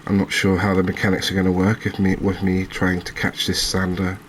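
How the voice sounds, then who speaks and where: Low, even-voiced man doing cozy game commentary. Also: fairly quick, slight background noise. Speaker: gaming video host. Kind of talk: soft-spoken ASMR game commentary.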